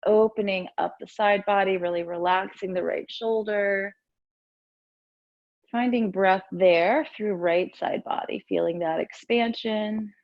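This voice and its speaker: A woman teaching yoga and meditation, giving gentle, soothing, unhurried guidance with pauses between instructions. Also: medium pitch, fairly even intonation, no echo, highs cut off.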